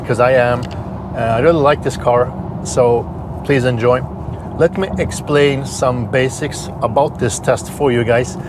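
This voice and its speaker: Swedish man, non-native English, mid-pitched, casual car reviewer, audible road noise. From Sweden. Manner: plain, explanatory, slightly halting with repeated words. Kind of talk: casual vlog narration while driving.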